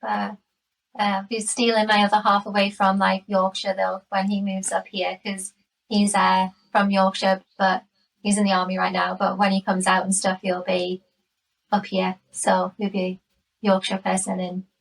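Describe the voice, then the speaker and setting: A woman's voice: medium pitch, completely flat delivery, normal speed, creaky, recorded from a distance, rough recording. A woman in her late thirties from the Newcastle area with a Geordie accent, chatting casually as a podcast guest. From Newcastle area, England. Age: late 30s. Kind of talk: casual chat.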